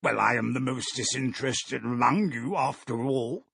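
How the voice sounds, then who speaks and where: Man acting a creaky, flippant businessman. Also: mid-range voice, normal speed, a bit bright, dry recording, clean studio sound. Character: jolly self-important businessman, flippant and callous. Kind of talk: audiobook character acting.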